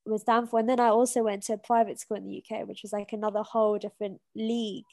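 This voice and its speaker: A woman's voice: mid-range voice, little pitch movement, fast, warm tone, no echo. A woman in her twenties with a British accent, a podcast guest talking in a reflective, hesitant way with many fillers and restarts. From Kenya. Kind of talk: casual conversation.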